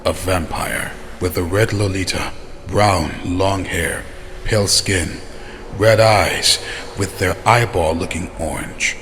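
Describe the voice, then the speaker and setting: Man acting deep, authoritative vampire elder. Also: a bit bright. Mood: measured, commanding. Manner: measured, authoritative orders. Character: calm, watchful male vampire clan elder commanding his followers. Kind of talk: scripted fantasy voice acting. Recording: long reverb, faint background noise.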